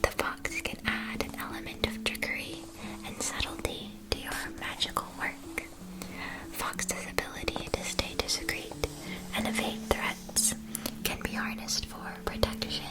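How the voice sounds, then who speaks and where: A woman whispering an ASMR roleplay as a polite, attentive witch-school shopkeeper, explaining in measured, deliberate phrases. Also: natural voice.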